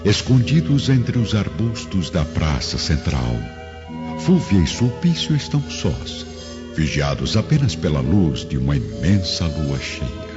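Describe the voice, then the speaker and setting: A man's voice: low-pitched, big pitch swings, unhurried. A man narrating a radio drama in a dramatic, scripted style. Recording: dull lo-fi audio under loud music.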